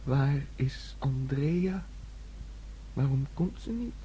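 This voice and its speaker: A man's voice: medium pitch, lively intonation, slow pace, dark tone, slight background noise. An actor playing a childlike, dreamy young man in a radio play, with a naive, wistful storytelling manner. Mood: wistful, intimate. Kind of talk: Dutch radio play acting.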